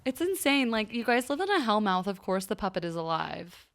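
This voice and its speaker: Casting: woman co-host, medium pitch with strong creak, informal slangy delivery in friendly TV discussion. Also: very animated, fairly quick. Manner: informal, slangy, heavy use of "like". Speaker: podcast co-host. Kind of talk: casual TV discussion among friends.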